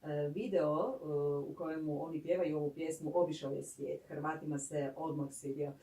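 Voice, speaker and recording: A radio host with a Croatian accent presenting an interview, pausing often while phrasing. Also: mid-range voice, fairly even intonation, dark tone. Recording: roomy, slightly distant microphone.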